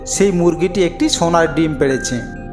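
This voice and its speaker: A man's voice: a little high, moderate pace. A man narrating a scripted fairy tale as a storyteller, with music playing behind him.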